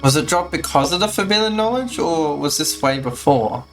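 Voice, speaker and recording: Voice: medium pitch, expressive, moderate pace, a bit bright. A streamer giving casual commentary while playing a game, musing aloud in a hesitant way. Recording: game music behind the voice. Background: video game music.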